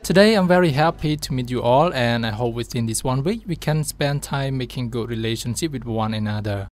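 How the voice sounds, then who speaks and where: A man's voice: mid-range voice, fairly quick, faint music behind, lightly acted. A man playing a welcoming, organised group host in a radio drama. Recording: faint background ambience noise.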